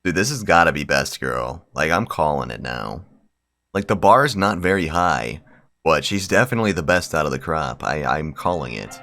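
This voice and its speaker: A man's voice: extremely low pitch, expressive, moderate pace, sharp tone. A man hosting a game video, reading a visual novel aloud and adding slangy, profane bro-style commentary. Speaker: gaming video host.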